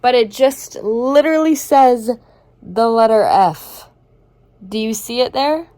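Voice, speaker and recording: A woman's voice: on the high side, lively intonation, slow, warm tone. A young woman in her twenties with a cold, congested and coughing, chatting emphatically in a casual reading vlog. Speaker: book vlogger.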